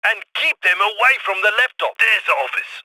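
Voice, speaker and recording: A sharp-toned heist planner; brisk and wry instructions, dry studio read. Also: male voice, sits high, dry recording, exaggerated voice, rough recording. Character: male heist planner on comms, brisk and cocky. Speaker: mission coordinator. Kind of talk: video game voice lines.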